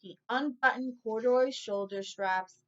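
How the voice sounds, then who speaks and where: Slow, high-voiced woman reading to children. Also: muffled recording. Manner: deliberate, instructive. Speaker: teacher. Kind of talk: read-aloud storytelling for young children.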